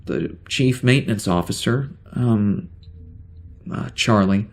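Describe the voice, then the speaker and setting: An actor playing a bewildered, weary spaceship security officer with amnesia in an audio drama, speaking in a halting, stammering way. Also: male voice, fairly low pitch, creaky, exaggerated voice. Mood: hesitant. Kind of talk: audio drama log monologue.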